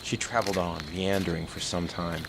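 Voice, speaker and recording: A man narrating a story and gently reassuring a girl in an audio drama. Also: low voice, steady tone, a little fast, gravelly, noisy background. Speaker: narrator and family friend. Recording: music under the voice.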